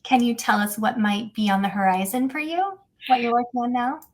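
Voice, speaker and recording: A woman's voice: a little high, fairly quick. Podcast host woman reading questions. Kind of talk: scripted interview questions.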